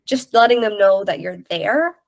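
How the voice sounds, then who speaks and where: A woman's voice: medium pitch, expressive, moderate pace, gravelly, dry recording, studio quality. A woman co-hosting a podcast, slightly stuffy with a head cold, giving casual, candid, practical advice. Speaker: podcast co-host and interviewer.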